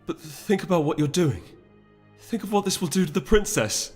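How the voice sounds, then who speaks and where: A man's voice: high voice, lively intonation, moderate pace, a little mellow, slightly rough, soft background music, pitched above the performer's own voice. A man voicing a loyal, anxious male pony aide, deferential and hesitant, in a fantasy audiobook. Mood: desperate, pleading. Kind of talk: dramatic audiobook character voice.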